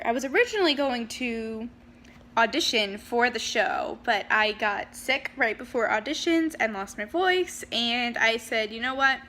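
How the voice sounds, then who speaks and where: A young woman talks straight to her camera in a casual, chatty way, with lots of ums and sudden bursts of speed. Her voice sits a little high and rings bright and clear. It sounds like a home video recording. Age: young adult. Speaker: vlogger. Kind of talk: casual personal storytelling. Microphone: handheld camera.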